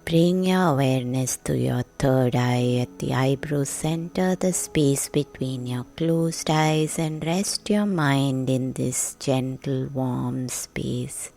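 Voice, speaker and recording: A woman narrating a guided sleep meditation in a gentle, hushed, soothing manner with long pauses. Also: rumbling low, vocal fry, faint music behind. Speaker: meditation guide, podcast host.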